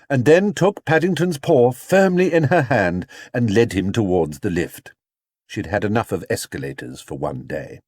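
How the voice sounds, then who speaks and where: Male children's audiobook narrator, measured storytelling, highly animated melody, dry recording. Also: no room sound.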